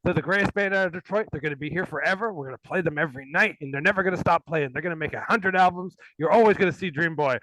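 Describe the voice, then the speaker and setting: A man with a bright, forceful, slightly high voice hosts a casual live stream, joking and laughing as he plays clips. The sound is dry and close, with faint music underneath. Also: very fast, few pauses, no room sound, low-quality recording. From Canada. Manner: laughing, joking, bursts into shouting. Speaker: stream co-host playing clips. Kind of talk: casual chat.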